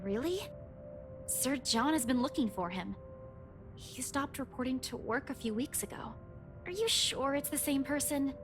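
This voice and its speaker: A woman's voice: high voice, expressive, moderate pace, music in the background. A woman acting an anxious, polite female office employee in a video game. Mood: concerned. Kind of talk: scripted visual novel game voice acting.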